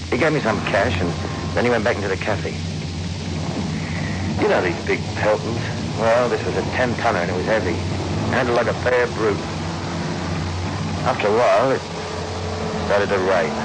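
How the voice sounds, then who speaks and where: An actor playing a chatty, down-on-his-luck lorry driver in a 1960s radio drama, with colloquial working-man speech. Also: natural voice. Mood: matter-of-fact storytelling. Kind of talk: 1960s radio drama acting, narrating and in dialogue. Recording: noisy old radio recording, vehicle rumble.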